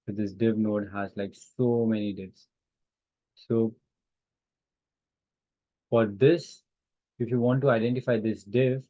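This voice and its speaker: A man with a fairly low voice leads an informal live software lesson. He talks haltingly, thinking aloud while he demonstrates on screen. The call audio is dull and lo-fi. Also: fairly even intonation, no room sound. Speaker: instructor. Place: online class call with screen sharing.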